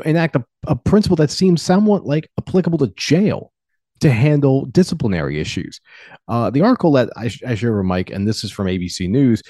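An American man co-hosting a podcast, giving earnest, deliberate opinions on the news in a conversational way. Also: mid-range voice, warm tone, no room sound, clean studio sound. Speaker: podcast co-host.

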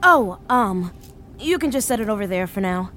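A woman's voice: medium pitch, expressive, normal speed, fairly bright tone, a little hiss or hum, natural voice. A woman playing a grieving, hesitant, sentimental woman in her thirties in naturalistic audio drama dialogue. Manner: hesitant, subdued.

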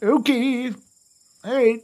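A man's voice: very high voice, flat delivery, slow, a little mellow. A man playing a tabletop roleplay game, talking in casual, slangy chat.

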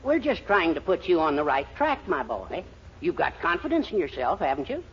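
A henpecked middle-aged American husband in an old radio sitcom. He is mild, hesitant and placating, with a lively rise and fall in his voice. The recording is dull and clearly noisy. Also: fairly high pitch, moderate pace. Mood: kindly, coaxing. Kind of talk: 1940s radio comedy acting.

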